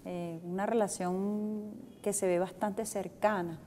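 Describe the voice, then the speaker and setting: A woman's voice: medium pitch, steady tone, slow pace, dark tone, a little hiss or hum. A woman hosting a TV interview, friendly, with short encouraging prompts. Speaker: TV show host. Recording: long hall reverb.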